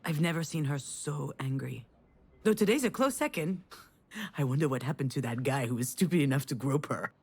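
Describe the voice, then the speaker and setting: Sardonic guarded young woman for a story game, kinda low voice, super expressive, like she's confiding her thoughts. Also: normal speed, slightly rough, heavily acted. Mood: wry, confiding. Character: guarded, wry young woman, a failed screenwriter. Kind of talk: visual novel voice acting with inner monologue.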